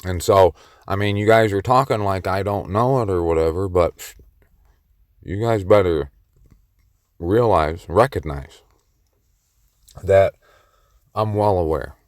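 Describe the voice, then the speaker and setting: Male vlogger, low and unhurried, clear close dry voice, cocky rambling brag. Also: dry recording. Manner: boastful and playfully cocky; slow, meandering delivery. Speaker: solo vlogger on the mic. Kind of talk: rambling self-recorded monologue.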